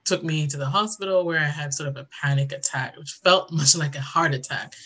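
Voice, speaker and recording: A woman's voice: deep voice, a little fast, pushed and bright. A woman, telling her personal story earnestly as an interview guest. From Ghana. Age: 30s.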